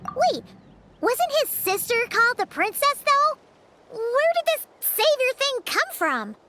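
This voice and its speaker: Squeaky, excitable little fairy girl. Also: takes it slowly, noisy background, exaggerated voice. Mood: puzzled. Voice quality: childlike, squeaky. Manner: perky, exclamatory, chatty. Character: small, excitable floating fairy girl companion. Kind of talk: English video game voice acting. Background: background music.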